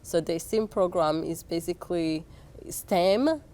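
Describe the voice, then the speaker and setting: A woman's voice: lowish voice, measured pace, warm tone. A young adult woman from Rwanda, a program chair, answering interview questions with frequent fillers and restarts. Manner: hesitant, many fillers and restarts.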